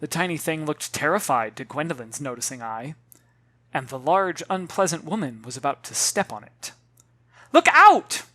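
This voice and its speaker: An author narrating a children's novel in an expressive, theatrical way, addressing listeners directly. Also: mid-range voice, normal speed, no room sound.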